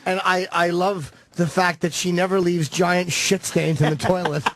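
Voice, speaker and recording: A man's voice: high-pitched, little pitch movement, moderate pace, noisy background. A man co-hosting a radio show, goading a colleague with crude jokes.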